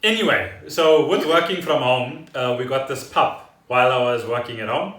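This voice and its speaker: South African man, podcast host, casual rambling chat, bright voice, distant from mic in echoey room. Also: mid-range voice, expressive, normal speed.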